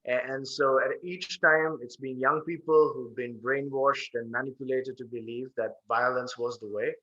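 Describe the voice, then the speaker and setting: A man's voice: mid-range voice, steady tone, normal speed, sharp tone. A man in his thirties from Sri Lanka, speaking earnestly and fluently as a guest on a video call. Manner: earnest, fluent, with frequent "uh" fillers. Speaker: guest, peace organization founder. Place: home room with bookshelves. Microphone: video call webcam. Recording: dull lo-fi webcam audio.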